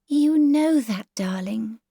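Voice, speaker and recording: A woman's voice: on the high side, lively intonation, slow, gravelly, dark tone, no echo, studio quality, pitched above the performer's own voice, heavily acted. A woman voicing a chattering, self-satisfied genteel Victorian mother in an audiobook, airy and fussy, with an English accent. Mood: patient, airy. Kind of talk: dramatised audiobook character dialogue.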